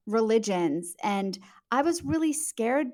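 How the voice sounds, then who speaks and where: A woman's voice: a little high, expressive, takes it slowly, a little mellow. A woman speaking as a guest author, explaining in a conversational interview style, fluent and emphatic, addressing the listener directly.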